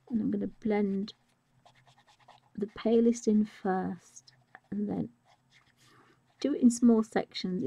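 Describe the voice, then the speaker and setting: A woman demonstrating a colouring technique live, a bit croaky from a chest infection, speaking softly and musing, often trailing into whispers. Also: medium pitch, no echo. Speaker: art tutor.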